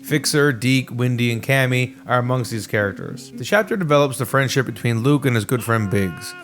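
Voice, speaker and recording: A man's voice: medium pitch, animated, a little fast, fairly bright tone. Man narrating a video essay over music.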